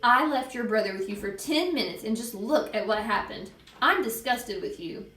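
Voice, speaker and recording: A woman voicing an emotional, doting but sharp mother, motherly and emphatic, in a storybook. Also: on the high side, animated, normal speed, roomy sound, recorded from a distance. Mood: scolding.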